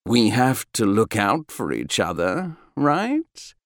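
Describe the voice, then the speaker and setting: A man's voice: on the low side, big pitch swings, slow pace, no room sound, pitched above the performer's own voice, full character voice. Casting: jovial daredevil spaceman, performed by a male reader, hearty and teasing, swooping intonation, close dry sound.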